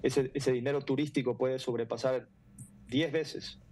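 A middle-aged man, explaining earnestly and haltingly as an interview guest on a video call. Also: mid-range voice, steady tone, darkish tone. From Ecuador. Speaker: foundation president, interview guest. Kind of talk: interview answers. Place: room indoors at home or office.

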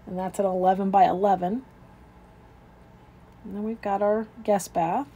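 A woman's voice: medium pitch, fairly even intonation, unhurried, warm tone, slightly rough, muffled recording. A woman real estate agent narrating an informal, unscripted walkthrough of a home, describing each room as she moves through it. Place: indoors, moving through rooms of a house.

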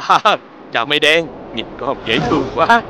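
A man's voice: fairly high pitch, very animated, normal speed, background noise. A man playing a kindly, easygoing father in a children's cartoon, with a southern Vietnamese accent. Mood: friendly.

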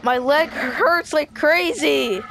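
Casting: high-pitched, slow-paced excitable gamer, improvised online roleplay chatter. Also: vocal fry, slight background noise. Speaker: player in a zombie roleplay.

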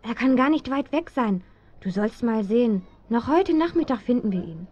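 An actress playing a bold, eager, quick-witted teenage girl detective in a German children's radio play. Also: on the high side, expressive, a little mellow, faint music behind. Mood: eager, excited. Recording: dull, dry 1970s audio drama recording.

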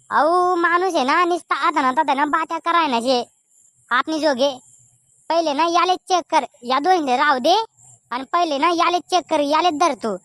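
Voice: sits high, bright, forceful tone, no room sound, clean studio sound. A performer voicing a pushy, suspicious villager in a comedy cartoon, with a Khandeshi Ahirani accent. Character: pushy, suspicious villager demanding lost money back.

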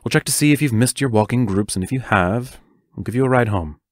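A man's voice: mid-range voice, fast talker, dry recording, clean studio sound, lightly acted. An actor playing a serious, protective male schoolteacher who speaks in careful, formal phrases in an audio drama. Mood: firm, concerned.

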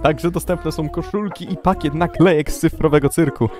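A man voicing a manic, gleeful cartoon ringmaster with theatrical announcer patter in an animated fan dub. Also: a little high, moderate pace, music in the background, natural voice. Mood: upbeat salesman.

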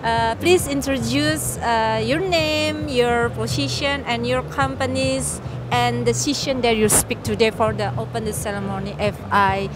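A woman's voice: a little high, fairly even intonation, measured pace, music in the background. A young woman hosting an interview at a trade fair booth, upbeat with broken English phrasing, into a handheld mic. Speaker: event interviewer.

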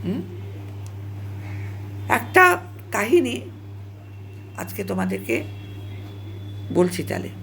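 A woman vlogger speaking straight to viewers in an opinionated, halting monologue, repeating herself and scolding with emphatic rhetorical questions. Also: fairly high pitch, huge pitch swings, gravelly, a bit bright, a little hiss or hum.

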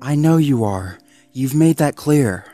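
A man voicing an anxious, gentle young male mixed-breed dog who pleads and whines. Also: animated, measured pace, soft background music. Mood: pleading. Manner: pleading, whiny, higher raised voice.

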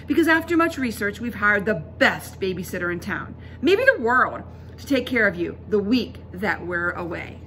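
A woman's voice: mid-range voice, very animated, normal speed, sharp tone, slight background noise. A woman voicing a firm, doting father in a storybook, speaking with strong emphasis. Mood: placating. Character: doting, firm father placating his spoiled daughter.

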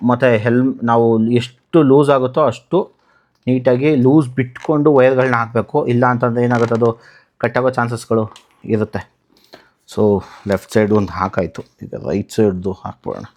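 Guy from karnataka doing a casual how-to vlog, medium pitch, explains stuff as he goes. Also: fairly even intonation, fairly quick, darkish tone. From Karnataka, India. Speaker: motovlogger, channel host. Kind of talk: casual hands-on tutorial talk.

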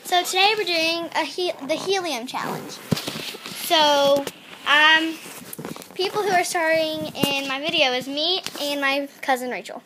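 High-voiced young girl vlogging. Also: unhurried. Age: child, about 10-12. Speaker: host of a challenge video. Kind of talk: casual kids' vlog chat. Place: small bedroom at home. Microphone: phone or tablet camera nearby. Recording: noisy home phone recording.

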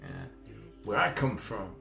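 A man's voice: on the low side, wildly swooping pitch, slow, creaky, sharp tone. Zambian guy in his 30s doing a home cooking video, chatty, lots of "mm?" and "uh", music going in the back. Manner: chatty and encouraging, many fillers and questioning "mm?" tags. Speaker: cooking channel host. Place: small home kitchen, indoors.

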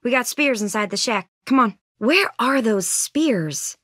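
A woman's voice: a little high, animated, measured pace, a bit bright, no echo, clean studio sound. Earnest, anxious teenage survivor girl. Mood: friendly, upbeat. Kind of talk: naturalistic video game voice acting.

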